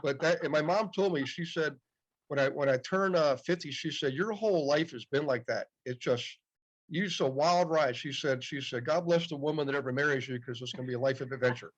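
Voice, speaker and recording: A man's voice: fairly high pitch, fairly quick. Chatty man in his fifties, muffled sound. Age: 50s. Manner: chatty, repeats "yep" often. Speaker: podcast guest. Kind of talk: casual storytelling interview. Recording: dull, muffled audio.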